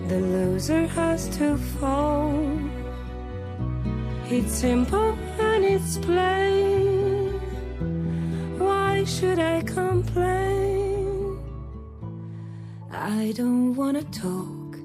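A woman singing a slow, gentle ballad over guitar. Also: extremely high pitch, animated. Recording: song track with loud guitar.

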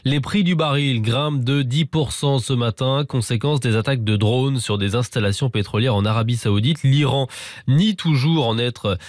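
A man reading a morning radio newscast, brisk and with some hesitations and restarts between items. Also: medium pitch, no echo, clean studio sound. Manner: brisk, with frequent fillers and restarts. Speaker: news anchor. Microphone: studio microphone.

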